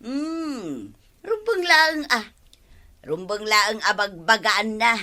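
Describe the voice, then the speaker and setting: Excitable village woman, Ilocano accent, highish forceful voice, nosy, radio comedy-drama. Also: takes it slowly, exaggerated voice. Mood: nosy, prodding. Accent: Filipino, Ilocano.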